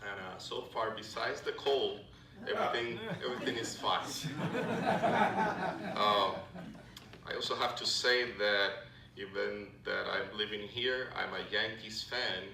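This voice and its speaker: A man's voice: lowish voice, unhurried, creaky, far from the mic, crowd noise. Puerto Rican man in an echoey hall. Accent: Puerto Rican Spanish. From Puerto Rico. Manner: friendly, many filler "uh"s and restarts. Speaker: Salvation Army officer speaking to a club. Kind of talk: informal presentation to a luncheon audience. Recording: echoey hall recorded from afar, background noise.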